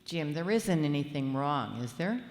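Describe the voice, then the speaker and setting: A woman playing a patient, gently teasing middle-aged wife and mother in a live radio play. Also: low voice, moderate pace. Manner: calm, soothing, dry. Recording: echoey hall with long reverb.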